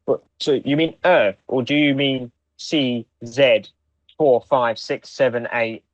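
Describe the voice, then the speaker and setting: A man's voice: medium pitch, steady tone, slow pace, no echo. A man co-hosting a podcast in casual, conversational fan chat. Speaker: podcast co-host. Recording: dull, dry, band-limited microphone.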